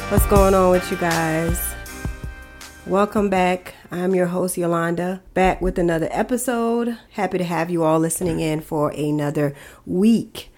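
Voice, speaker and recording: A woman's voice: lowish voice, fairly even intonation, a little slow, darkish tone, soft background music. Stuffy-voiced woman host with a cold. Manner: frank, chatty, opinionated. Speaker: podcast host. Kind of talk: casual solo podcast commentary.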